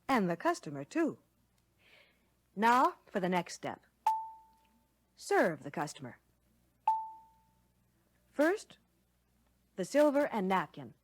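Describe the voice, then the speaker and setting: A woman playing a courteous American lunch counter waitress, explaining service clearly in a scripted 1960 training film. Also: mid-range voice, no room sound. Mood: polite, instructive. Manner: courteous, instructive, clearly worded.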